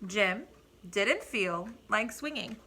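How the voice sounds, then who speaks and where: A woman reading a picture book aloud to children like a teacher, with deliberate emphasis and asides to the young listeners. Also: medium pitch, expressive, pushed and bright.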